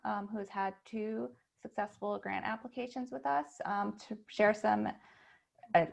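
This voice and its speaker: A woman's voice: mid-range voice, vocal fry, dull audio. A woman on the program staff introducing a guest speaker in a webinar, with a few fillers.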